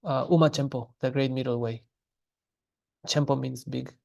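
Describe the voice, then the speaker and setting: A man teaching a language class, explaining grammar patiently in a relaxed way with frequent pauses and restarts. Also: medium pitch, vocal fry.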